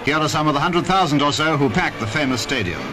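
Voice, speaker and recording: A man's voice: a little high, animated, fast talker. A man with a British accent giving formal, clipped scripted sports narration as a 1950s newsreel commentator. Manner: formal, clipped announcer delivery. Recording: vintage 1950s newsreel, loud music behind. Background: loud music.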